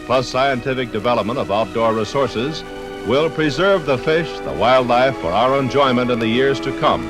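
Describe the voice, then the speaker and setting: A man's voice: medium pitch, lively intonation, moderate pace. A man reading formal scripted narration for a 1950s radio documentary. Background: music.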